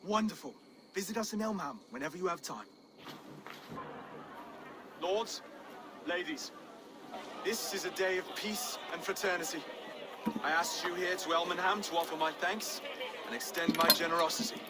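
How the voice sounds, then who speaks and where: A man's voice: high-pitched, moderate pace, long pauses, a loud crowd, very noisy, lightly acted. An actor playing an earnest, courteous, mild-mannered Saxon nobleman in a video game cutscene. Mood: formal welcome. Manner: courteous, earnest, soft-hearted.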